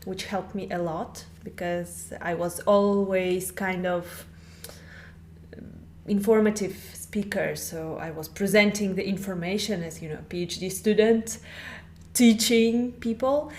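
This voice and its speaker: A woman speaks English as a guest on a podcast. She explains things carefully and at a measured pace, in a voice of middle pitch. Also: a little mellow, slight background noise. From Russia. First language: Russian. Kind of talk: podcast interview.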